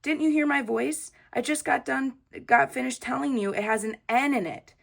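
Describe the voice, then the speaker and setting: A woman's voice: sits high, moderate pace, creaky, voice pushed up. A woman voicing a bossy, precise little schoolgirl in a storybook, with an emphatic, correcting manner. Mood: annoyed.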